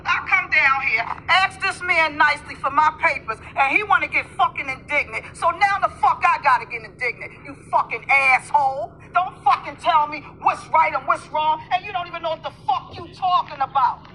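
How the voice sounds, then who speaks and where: Woman, furious profane rant, very high pitch, sharp tone. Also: normal speed, few pauses, a little hiss or hum, low-quality recording. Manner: furious, swearing nonstop, berating. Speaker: angry customer.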